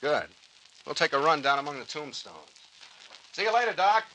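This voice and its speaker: A bright, forceful private detective in an old American radio serial. He is shrewd and breezy, swinging widely in pitch as he takes command. The recording is old and a bit noisy. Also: very animated, normal speed. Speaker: radio drama actor.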